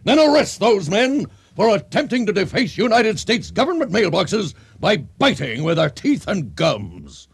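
An actor playing a blustering, officious army commander, barking orders in a comic radio drama. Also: male voice, high voice, very animated, a little slow, gravelly, few pauses, breathy edge, exaggerated voice. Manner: barked military orders.

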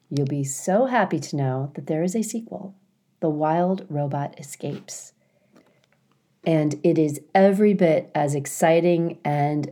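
Woman storyteller, unhurried, soft mellow tone, expressive children's book reading. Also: low voice. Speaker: story reader, school staff. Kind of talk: expressive children's audiobook narration.

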